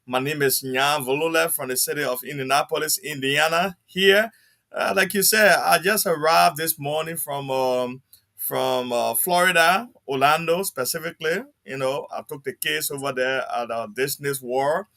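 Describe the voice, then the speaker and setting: A man's voice: on the high side, normal speed, bright, forceful tone. A man who co-hosts a community talk show, talking in an upbeat, chatty way. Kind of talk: casual talk show chat.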